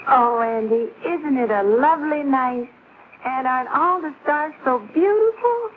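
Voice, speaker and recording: A woman playing an excitable, dreamy young sweetheart in a 1950s American television sitcom. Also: high-pitched, a little slow. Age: young adult. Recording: very noisy, muffled vintage TV soundtrack.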